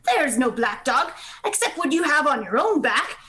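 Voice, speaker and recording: A woman's voice: high voice, very animated, normal speed, bright, forceful tone, a little hiss or hum, full character voice. A woman playing a stern male country doctor in a radio play, scolding and lecturing in a brusque, blunt manner.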